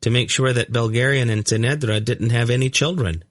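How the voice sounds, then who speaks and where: An uncanny young storybook boy voiced by a man. He speaks higher than the narrator's natural voice, calm and matter-of-fact, as if he simply knows things. The sound is close and dry. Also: a little fast, dry recording, natural voice. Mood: calm, knowing. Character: calm, uncanny young blond boy who reads minds.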